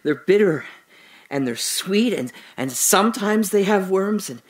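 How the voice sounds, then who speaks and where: A man playing a husky, dying old father. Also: high-pitched, expressive. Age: older. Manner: halting, breathy, emotional, many pauses and restarts. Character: dying old father recalling his daughter's birth. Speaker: narrator of a monologue drama. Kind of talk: intimate dramatic monologue, whispered at times.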